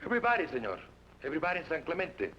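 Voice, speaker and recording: An actor playing a wary barber, speaking in a cool, measured way in a 1940s radio drama. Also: male voice, a little high, big pitch swings, pushed and bright. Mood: guarded. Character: wary Mexican barber, adult man, shrewd and unafraid. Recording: dull old radio recording with hiss.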